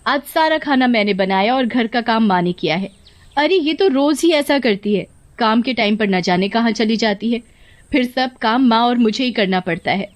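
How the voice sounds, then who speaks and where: Woman as high-voiced fussy sister-in-law. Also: lively intonation, moderate pace, close to an everyday voice. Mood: complaining. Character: complaining elder sister-in-law in the household.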